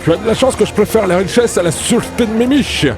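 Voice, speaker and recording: A man's voice: high-pitched, fast, pitched above the performer's own voice. A man voicing a greedy, sexist sea captain in a comic audio drama, swaggering and haggling with breezy familiarity. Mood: haggling, scornful. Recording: music behind.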